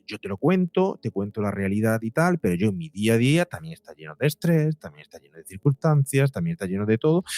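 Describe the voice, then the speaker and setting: A man's voice: medium pitch, a little mellow, no echo. A middle-aged man with a Spanish accent from Spain, giving emphatic, rambling explanations as an expert guest in an interview. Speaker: guest psychologist and podcaster.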